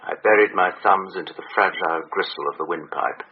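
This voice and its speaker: Cold ex-army memoirist on thin tape. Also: male voice, sits low, slightly rough. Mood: cold, resolute. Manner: measured, cold, matter-of-fact. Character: cold, unrepentant former army officer dictating his memoirs. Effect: played back from a tape. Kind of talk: solemn dictated autobiography in radio drama. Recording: thin narrow tape playback, faint noise.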